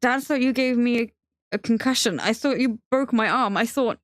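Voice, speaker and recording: A woman voicing a frightened, remorseful young man in love, pleading in a wavering, halting way in an audiobook. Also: lowish voice, a little mellow, dry recording, clean studio sound, lightly acted. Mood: apologetic.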